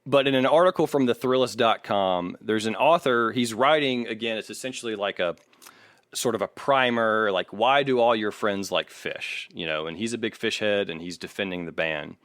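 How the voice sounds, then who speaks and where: Guy with a lowish voice doing opinionated podcast music talk, kinda analytical, no room sound. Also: normal speed, fairly bright tone, no echo, studio quality. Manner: analytical, opinionated, swears casually. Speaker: podcast host. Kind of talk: casual music critique chat.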